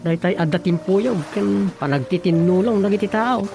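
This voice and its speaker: An actor with an Ilocano Filipino accent playing a helpful, earnest village official in a radio drama. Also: male voice, high voice, normal speed, vocal fry. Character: helpful village official explaining government aid. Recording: music bed behind the voice.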